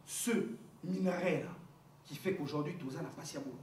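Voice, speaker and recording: A man's voice: a little high, fairly quick. Distant, reverberant recording with some noise. Male political orator, Congolese accent, didactic and emphatic delivery. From Democratic Republic of the Congo. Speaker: political speaker, activist. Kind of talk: impassioned political speech to a gathering. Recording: distant, echoey room with background noise.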